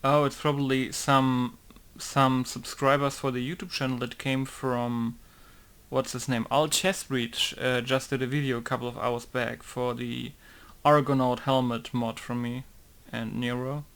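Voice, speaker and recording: Laid-back gravelly man chatting casually. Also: medium pitch, little pitch movement, unhurried. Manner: rambling, laid-back, often trails off quietly. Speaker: video game modder. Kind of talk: casual commentary over gameplay.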